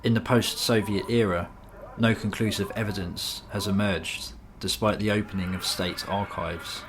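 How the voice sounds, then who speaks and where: A man's voice: fairly low pitch, fairly even intonation, moderate pace, background noise. A man reading a scripted nonfiction piece as a documentary-style narrator.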